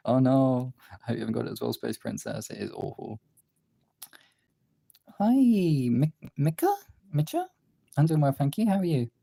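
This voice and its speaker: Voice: medium pitch, animated, moderate pace, creaky, long pauses, dark tone, slightly rough, no room sound, clean studio sound. A livestream host with a congested, tired voice, chatting casually and informally to viewers while drawing, rambling and swearing now and then.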